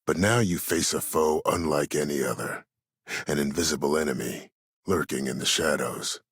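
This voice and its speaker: An actor playing a calculating, courteous older military schemer in a video game, speaking in a measured, formal, silkily polite and menacing way. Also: male voice, extremely low pitch, fairly bright tone, husky, no echo, clean studio sound, heavily acted. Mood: measured, ominous. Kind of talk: dramatic video game voice acting.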